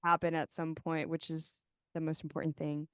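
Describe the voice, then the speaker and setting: A woman's voice: deep voice, level tone, brisk, fairly bright tone, no echo, clean studio sound. A woman hosting a podcast, chatting casually and reflectively with many fillers. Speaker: podcast host. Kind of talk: casual interview chat.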